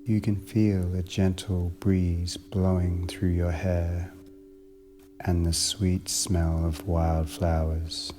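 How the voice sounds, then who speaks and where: A man narrating a guided meditation in a measured, soothing manner with long pauses between short phrases. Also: sits low, little pitch movement.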